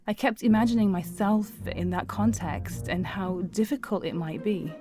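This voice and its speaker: A woman's voice: mid-range voice, normal speed, music in the background. A middle-aged woman, a psychologist, speaking reflectively as she is interviewed. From Syria.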